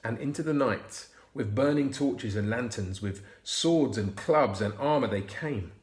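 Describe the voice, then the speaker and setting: A man reading a Bible storybook aloud to children, expressive and often hushed. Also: medium pitch, a little slow. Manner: hushed, expressive, dropping to whispers. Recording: roomy echo.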